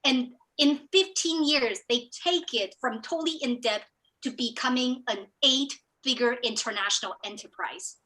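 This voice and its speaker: A woman's voice: high-pitched, moderate pace, pushed and bright, rough recording. A middle-aged woman from Taiwan with a polished American-sounding English accent leads a motivational business training. She talks with enthusiasm and often repeats words as she starts a thought. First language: Chinese. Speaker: business coach hosting a training. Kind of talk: motivational live training talk.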